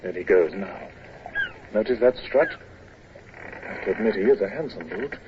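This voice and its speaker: A man's voice: lowish voice, lively intonation, normal speed, lightly acted. A man playing a candid, worldly naval shipmate who speaks in a dry, confiding way in a 1950s radio drama. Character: worldly, candid young shipmate warning a newcomer. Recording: muffled, noisy vintage radio recording.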